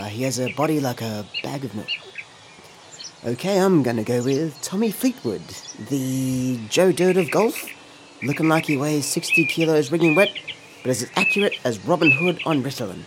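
A man's voice: on the high side, lively intonation, moderate pace, heavy background noise. A man co-hosting a crude, joking podcast about sport and racing. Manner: swearing, joking, laughing often. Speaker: podcast co-host. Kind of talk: crude casual banter.

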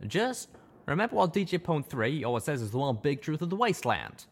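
Pony stallion with a folksy drawl, high and emotional, a male narrator putting him on; lively swinging pitch. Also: brisk, breathy edge, full character voice. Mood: earnest. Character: young male pony, rough-spoken, guilt-torn and earnest.

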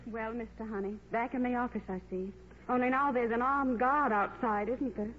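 Woman acting as kind young stewardess, rather high voice, soothing, polite, tinny old radio drama. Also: steady tone, normal speed, over music, close to an everyday voice. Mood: concerned, gentle. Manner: gentle, polite, reassuring.

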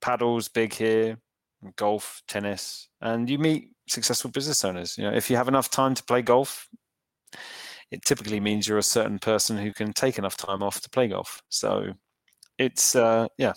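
Guy being interviewed, lowish smooth voice, lots of ums, dry close mic. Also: normal speed, dry recording. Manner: thoughtful, hesitant with many fillers and restarts. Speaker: entrepreneur guest. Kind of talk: interview answers.

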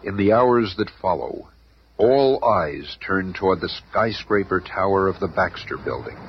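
An actor playing a dramatic radio serial narrator, suspenseful and emphatic. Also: male voice, sits low, lively intonation. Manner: slow-building, suspenseful, emphatic. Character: dramatic, portentous male narrator. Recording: muffled, noisy old radio recording.

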